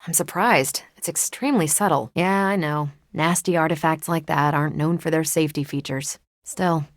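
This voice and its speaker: Video game superheroine: tough, wry, self-assured, lowish voice, casual banter, very dry studio sound. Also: female voice, moderate pace, dry recording. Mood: calm, matter-of-fact. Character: confident, wry, tough adult superheroine soldier. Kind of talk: scripted video game voice acting.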